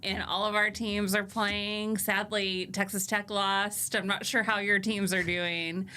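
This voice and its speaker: A woman's voice: mid-range voice, level tone, measured pace, pushed and bright. Woman host asking friendly questions. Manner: friendly, asks short questions. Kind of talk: casual interview chat.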